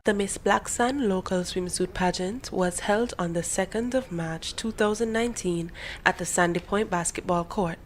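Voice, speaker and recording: A woman's voice: mid-range voice, normal speed. A woman reading a scripted news report as a reporter. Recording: clearly noisy background.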